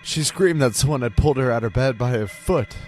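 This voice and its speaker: A man's voice: mid-range voice, brisk, a little mellow, pitched above the performer's own voice, lightly acted. A man playing a haunted husband and father, narrating a first-person horror story in an ominous, earnest and theatrical manner. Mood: panicked. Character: haunted husband and father confessing a terrifying ordeal. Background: background music.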